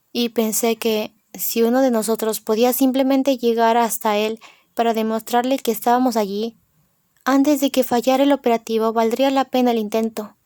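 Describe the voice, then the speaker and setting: A woman's voice: fairly high pitch, a little mellow, no room sound, studio quality. A woman reading a novel aloud in the first person as an audiobook narrator.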